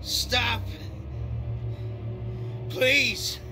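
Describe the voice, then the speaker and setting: High-voiced, cowardly, pleading turncoat. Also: male voice, steady tone, extremely slow, music in the background, full character voice. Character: frightened male turncoat raider, pleading and cowardly. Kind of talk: dramatic video game acting.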